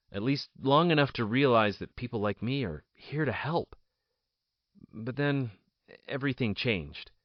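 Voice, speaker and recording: A man playing a proud, bitter ousted politician in an audio drama, telling his story in a deliberate, theatrical monologue with dramatic pauses. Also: mid-range voice, no room sound, lo-fi sound. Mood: earnest.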